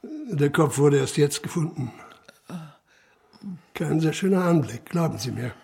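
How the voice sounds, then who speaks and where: An actor playing a dogged police inspector in a historical radio drama, measured, dry and insistent, with a husky voice. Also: male voice, fairly high pitch, expressive, a little mellow, exaggerated voice. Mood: probing, insistent. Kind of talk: radio drama dialogue and sober first-person narration.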